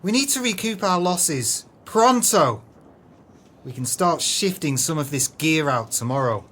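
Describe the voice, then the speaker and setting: A man's voice: fairly high pitch, animated, unhurried, bright, forceful tone, full character voice. A man playing a haughty, easily exasperated crooked businessman in an audio play, speaking in a pompous, florid way. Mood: frustrated fury.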